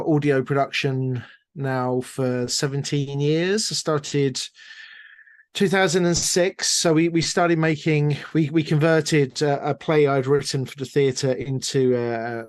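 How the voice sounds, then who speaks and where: A man's voice: a little high, no echo. Wry middle-aged man, dry sound. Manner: wry, self-deprecating, chatty. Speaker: interview guest, audio drama producer. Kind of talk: casual interview storytelling.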